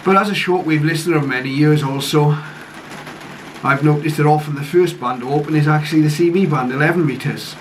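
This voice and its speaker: A man giving a casual, low-key first-look commentary on a piece of equipment, speaking hesitantly and without hurry. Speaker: radio hobbyist reviewer. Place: conservatory, later a home radio room. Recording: clearly noisy home recording.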